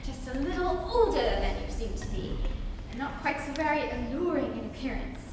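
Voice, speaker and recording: A woman's voice: sits high, expressive, normal speed. A young woman playing a haughty, formal Victorian society lady in a dramatic reading of a stage comedy. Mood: disapproving candor. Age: young adult. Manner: formal, grand, pointedly polite. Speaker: student reader. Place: large reverberant room. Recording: echoey hall from far off, noisy.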